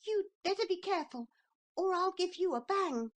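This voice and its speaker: A woman voicing a polite but indignant little boy, high-pitched and quick. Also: animated. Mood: defiant.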